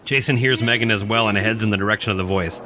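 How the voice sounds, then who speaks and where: A man's voice: lowish voice, fast talker, background noise. A man reading a horror radio play as narrator, in a suspenseful, theatrical style. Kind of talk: dramatic scripted horror narration. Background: prominent music.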